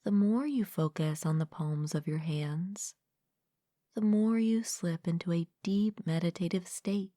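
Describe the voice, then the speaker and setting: A woman leading a guided meditation as a mindfulness coach, speaking gently and calmly in a soft, breathy, partly whispered voice, close to the mic. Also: on the low side, dry recording. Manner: gentle, calm, unhurried with long pauses. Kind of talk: guided meditation, soothing scripted narration.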